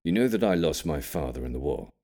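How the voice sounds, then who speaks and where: A man voicing a cryptic old grandfather in an audiobook, gravely and carefully reminiscing about his wartime childhood. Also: rumbling low, expressive, warm tone. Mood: reluctant, confiding. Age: older. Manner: careful, measured, ominous storytelling. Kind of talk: audiobook character storytelling.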